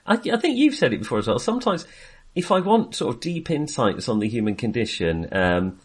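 Guy on a film podcast, rambles and second-guesses himself, really up and down pitch. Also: big pitch swings, husky. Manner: rambling, halting, self-deprecating. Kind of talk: casual film discussion.

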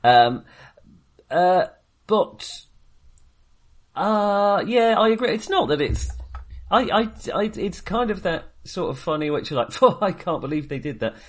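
A man's voice: sits high, big pitch swings, measured pace, long pauses. A man co-hosting a casual film discussion, rambling and often correcting himself as he goes. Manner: hesitant, rambling, self-correcting. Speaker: podcast co-host reviewing films.

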